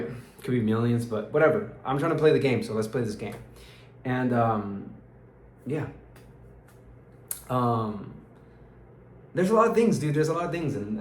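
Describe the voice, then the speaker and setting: A man's voice: medium pitch, slight background noise. Rambling young man, echoey room. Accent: American English. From Peru. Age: young adult. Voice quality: rambling, restarts often, uses slang like dude and bro. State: tired. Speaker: vlogger. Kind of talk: casual diary vlog.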